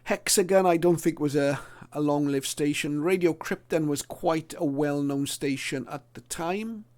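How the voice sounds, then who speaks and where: Higher-voiced middle-aged man, halting and thoughtful. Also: darkish tone, a little hiss or hum. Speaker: radio hobbyist presenter. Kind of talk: casual reminiscing explainer to viewers.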